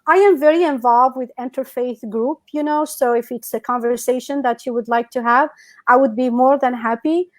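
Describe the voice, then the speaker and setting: A woman from North Africa whose first language is Berber, chatting as a guest on a video call. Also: on the high side. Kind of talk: casual interview over a video call.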